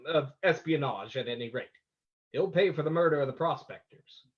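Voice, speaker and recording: A suave, smug gentleman sleuth in a radio play, acted by a man. His high voice is animated and wry, heard through slightly dull, lo-fi sound. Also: moderate pace, lightly acted. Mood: smug, teasing. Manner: wry, self-assured. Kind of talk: old-time radio acting.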